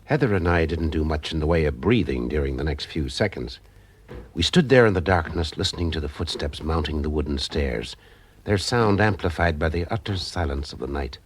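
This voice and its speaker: An actor playing a wry, cool private eye in a radio detective drama, speaking in a dry, understated way. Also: male voice, very deep voice, animated, fairly quick, slight background noise. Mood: measured, suspenseful. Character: wry, cool private eye telling his own story. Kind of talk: radio detective drama with first-person narration.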